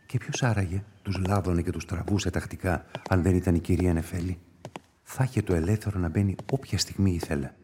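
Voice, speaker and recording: An actor playing a wary, restrained police inspector in a radio play, hesitant and formal. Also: male voice, low-pitched, fairly even intonation, warm tone, a little hiss or hum, natural voice. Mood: musing. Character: wary police inspector, uneasy and privately judging.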